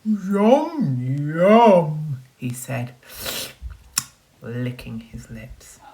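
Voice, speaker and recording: A woman with a low voice reads a story to children like a teacher. Her pitch swings widely and she takes her time, expressive and encouraging as she speaks straight to the young listeners. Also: slight background noise, poor audio. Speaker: primary school teacher. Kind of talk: children's story read aloud with questions to listeners.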